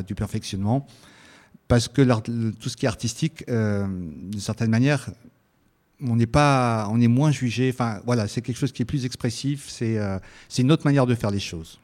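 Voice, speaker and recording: A man whose first language is Italian, answering interview questions thoughtfully with frequent pauses and restarts. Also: mid-range voice, darkish tone. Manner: thoughtful, hesitant, many restarts.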